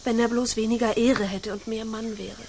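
A woman's voice: on the high side, flat delivery, normal speed, over music, lightly acted. An actress playing a proud, spirited young noblewoman in a radio play, speaking formally and dramatically. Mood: scornful, wistful.

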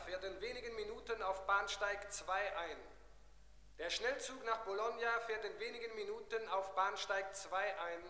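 Train station announcer, high piercing voice, formal, radio play. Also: male voice, normal speed, sharp tone.

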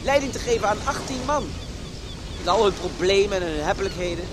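An actor playing a serious, cautioning man in a Dutch radio play, speaking deliberately. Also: sits high, lively intonation. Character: serious, cautioning male companion of a young woman. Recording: noisy, surf and wind behind. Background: sea waves and wind.

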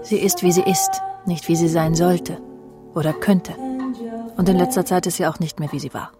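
An actress playing a bitter middle-aged woman who confides in a measured, often murmuring voice in a German radio crime drama. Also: lowish voice, steady tone, over loud music, close to an everyday voice. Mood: reserved. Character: bitter middle-aged woman narrating her jealous confession.

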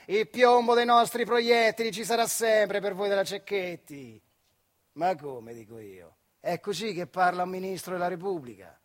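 A man's voice: a little high, breathy edge. A man with a central Italian accent from the Marche delivering a colloquial theatrical monologue in dialect. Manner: colloquial, animated storytelling with acted voices. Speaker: stage actor and storyteller.

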